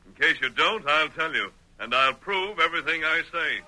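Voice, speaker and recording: An actor playing a stern, self-assured hero in old-time radio style. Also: male voice, a little high, animated, moderate pace, bright, forceful tone, a little hiss or hum, rough recording. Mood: firm, confident. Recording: vintage radio drama recording.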